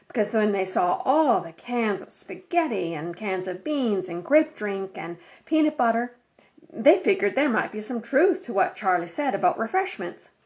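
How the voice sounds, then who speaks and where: Woman narrating, slightly muffled recording. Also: mid-range voice. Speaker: audiobook reader. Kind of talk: scripted children's story narration.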